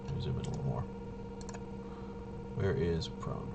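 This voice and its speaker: A man thinking aloud through solo tabletop game commentary, casual and halting, often muttering to himself as he works things out. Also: rumbling low, expressive, vocal fry, highs cut off, heavy background noise. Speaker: tabletop RPG player and presenter.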